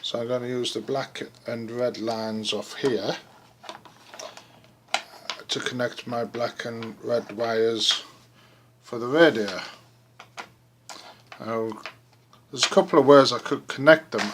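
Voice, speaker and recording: A man casually narrating a hands-on project as he works, halting and thinking aloud, recorded indoors on a handheld camera. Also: mid-range voice, noisy background. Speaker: DIY video host. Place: room indoors. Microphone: handheld camera mic.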